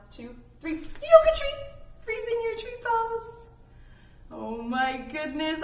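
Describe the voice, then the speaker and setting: A woman coaches young children through yoga with playful encouragement. Her pitch is high and very lively. She is heard from a distance in a roomy, echoing space. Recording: echoey room, distant microphone.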